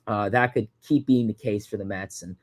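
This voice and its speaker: A man's voice: lowish voice, moderate pace, no echo. Rambling young man, dry close mic. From Long Island, New York. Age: 20s. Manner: rambling, heavy on fillers and repeated words. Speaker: livestream host. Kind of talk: casual livestream chat answering viewer questions.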